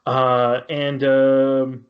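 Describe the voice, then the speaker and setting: A man chatting casually as a podcast panelist, haltingly repeating words as he searches for the next one. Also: mid-range voice, completely flat delivery, lo-fi sound. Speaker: podcast panelist reviewing films. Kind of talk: casual chat.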